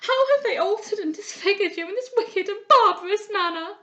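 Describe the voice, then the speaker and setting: A woman voicing a frantic young woman who wails high and tearful in grief. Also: a little fast, pushed and bright. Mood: sobbing.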